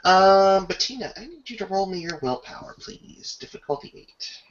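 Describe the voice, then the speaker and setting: A man acting as game master, narrating a tabletop roleplaying scene and its rules in halting, improvised phrases over a lo-fi voice chat. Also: high-pitched, animated, a little hiss or hum. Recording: dull lo-fi voice chat.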